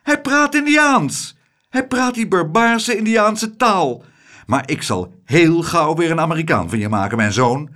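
A man's voice: lively intonation, a little slow, bright, forceful tone. A man voicing a rich, theatrical older American lady who gushes and sobs over a boy she takes for her son. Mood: excited, imperious. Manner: high, shrill, sobbing and doting.

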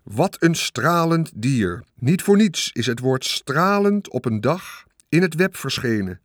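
A man's voice: on the high side, big pitch swings, slow, no echo, voice pushed up. Storybook role: male reader as self-important fair announcer, formal oratory, raised voice, dry sound. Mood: grand, proclaiming. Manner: ceremonious, oratorical. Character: pompous male fairground announcer on a loudspeaker, formal and grandiose. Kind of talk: audiobook character voice.

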